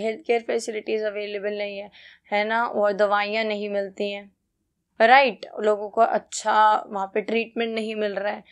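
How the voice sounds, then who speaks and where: A woman with an Indian accent teaching an exam lesson, reading out points and explaining them conversationally with frequent check-in tags and rhetorical questions. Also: a little high. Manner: mixes Hindi and English. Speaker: teacher, exam-prep tutor.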